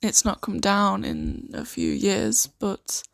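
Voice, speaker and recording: A woman improvising an ASMR roleplay as a kindly, earnest host of a fantasy sanctuary, speaking softly and reassuringly with some hesitation. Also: medium pitch, wildly swooping pitch, slow pace, creaky, no room sound, exaggerated voice. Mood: sympathetic, careful. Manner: soft-spoken, gently hesitant, reassuring.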